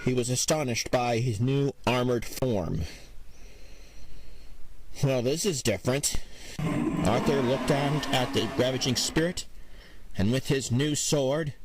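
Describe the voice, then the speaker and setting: A man's voice: mid-range voice, heavy background noise. A man narrating a scripted superhero audio drama in a dramatic storytelling style, over music.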